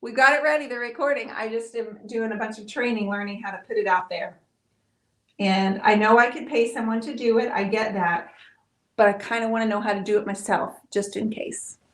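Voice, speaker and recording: A middle-aged woman hosting a live painting stream, chatting casually and warmly with viewers, with frequent "um"s. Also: fairly high pitch, steady tone, a little fast, low-quality recording. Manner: chatty, friendly, talks to viewers with many fillers.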